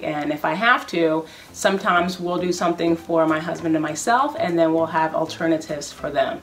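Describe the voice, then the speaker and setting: A woman's voice: on the low side, a little hiss or hum. A woman in her thirties chatting casually in a home kitchen vlog, walking through her meal plan and listing groceries one by one in an upbeat way. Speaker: vlogger. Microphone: camera in front, medium framing.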